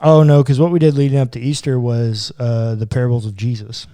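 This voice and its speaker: Mellow-voiced man in casual podcast talk. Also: mid-range voice, level tone, brisk, no echo, clean studio sound. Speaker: podcast co-host and pastor. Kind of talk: casual conversational Bible discussion.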